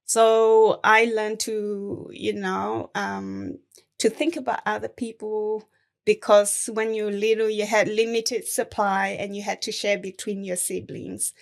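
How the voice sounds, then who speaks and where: A young woman from Thailand, a Karen refugee, speaking slowly and reflectively as a guest in an interview. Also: medium pitch, little pitch movement, gravelly. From born in Thailand, Karen refugee. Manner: slow, measured and reflective, with gentle laughs. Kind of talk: conversational interview.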